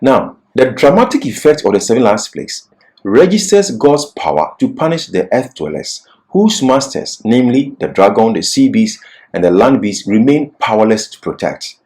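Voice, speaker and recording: A man's voice: mid-range voice, very animated, normal speed, a little mellow. A man giving an expository Bible lecture as a teacher, repeating key phrases for emphasis.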